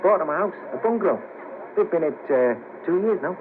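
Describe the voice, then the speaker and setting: A man's voice: sits high, expressive, brisk. An actor playing a hesitant, gloomy Merseyside ex band manager, down on his luck, in a 1970s radio play. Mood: wry. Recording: hissy narrow-band cassette of 1970s radio broadcast.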